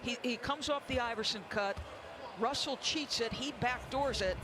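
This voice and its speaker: A man giving excited commentary and analysis on a basketball broadcast. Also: very high voice, moderate pace. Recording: noisy game broadcast with court ambience. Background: court ambience and ball bounces.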